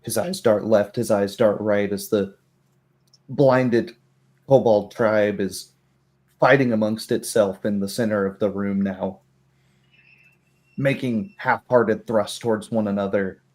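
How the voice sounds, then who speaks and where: A man narrating a tabletop roleplay game as game master, telling it in a measured, descriptive way. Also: on the low side, steady tone, a little mellow.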